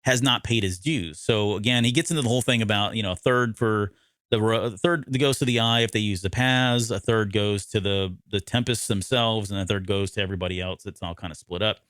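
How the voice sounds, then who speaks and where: Low-voiced man in casual podcast talk. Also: brisk, a bit bright, dry recording. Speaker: podcast co-host. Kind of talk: casual discussion recapping an audio drama.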